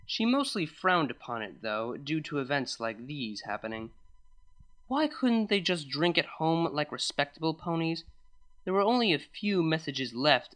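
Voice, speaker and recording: A narrator reading fan fiction aloud in an animated storytelling style. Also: medium pitch.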